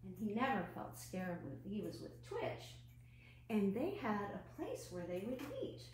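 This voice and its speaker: A woman children's librarian telling a story to young children in a gentle, expressive way, asking simple questions as she goes. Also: medium pitch, measured pace. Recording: roomy echo, clear background noise and hum.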